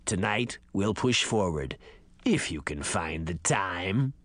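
A man voicing an ancient, menacing male conspirator with a dry, crackling, creaky voice and a coldly calm manner. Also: low voice, slow pace, no echo, pitched below the performer's own voice, heavily acted.

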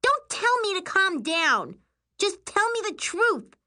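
A woman voicing an indignant, bossy twelve-year-old girl in a shrill, snapping voice for an audiobook. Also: lively intonation, slow, sharp tone, exaggerated voice. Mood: furious.

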